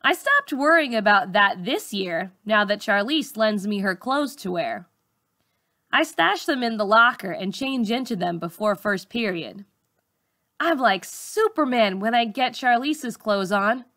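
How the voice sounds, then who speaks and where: A woman reading a first-person story aloud in an expressive, dramatic teacher's storytelling style. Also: mid-range voice, measured pace.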